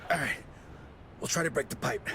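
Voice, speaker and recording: A man's voice: mid-range voice, fairly quick, creaky, noisy background, natural voice. A man playing a tense streetwise young mobster. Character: young male mobster, tough but wary.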